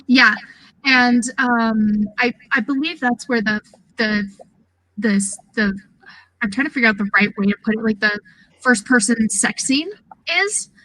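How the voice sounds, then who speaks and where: A woman chatting casually about video games on a podcast, informal and halting as she thinks aloud. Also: a little high, bright, forceful tone, slight background noise, rough recording. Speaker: podcast panelist.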